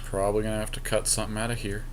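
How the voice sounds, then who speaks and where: A man's voice: on the low side, little pitch movement, very fast, slight background noise. A man casually commentating as a podcast co-host while playing a card game, with dry self-mocking humor and casual swearing. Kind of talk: casual gaming commentary, chatting while drafting cards.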